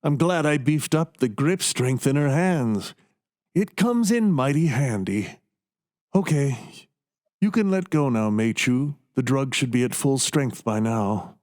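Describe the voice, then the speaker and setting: A man playing a coldly scheming, gloating doctor in a radio play, speaking with deliberate, condescending menace. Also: medium pitch, animated, darkish tone, natural voice. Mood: smug, menacing.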